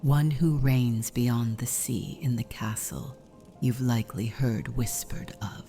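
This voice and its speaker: A very low, dark-toned vampire mother voiced by a woman speaks gravely and formally, often close to a whisper. She is a solemn figure from a fantasy game roleplay, with music around her measured words. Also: fairly even intonation, full character voice. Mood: grave confiding. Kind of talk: scripted fantasy roleplay dialogue.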